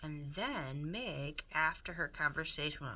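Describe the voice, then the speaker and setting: A woman's voice: deep voice, expressive, measured pace, sharp tone. A woman reading a children's story aloud expressively, dramatically addressing the listener.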